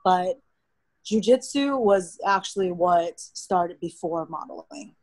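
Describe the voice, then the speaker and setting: A young American woman whose first language is Mandarin, telling stories in a relaxed, chatty way as a podcast guest. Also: fairly low pitch, slow, no echo. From United States. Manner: relaxed, chatty storytelling with frequent "like". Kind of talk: casual interview conversation.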